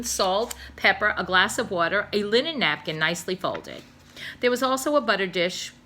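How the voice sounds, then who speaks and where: A woman teacher reading a children's novel aloud, expressive, with little asides and self-corrections. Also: on the low side.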